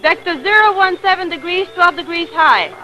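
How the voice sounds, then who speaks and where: Space dispatcher, super high voice, crisp official delivery, old radio serial, loud backing sound. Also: female voice, normal speed, soft background music, poor audio. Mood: businesslike, urgent. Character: efficient female space communications operator. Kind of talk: old-time radio drama acting.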